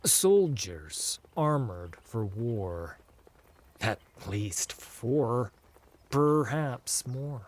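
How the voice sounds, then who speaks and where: A man narrating a fantasy audiobook in a theatrical, measured storytelling style. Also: lowish voice. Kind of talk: dramatic scripted fantasy narration.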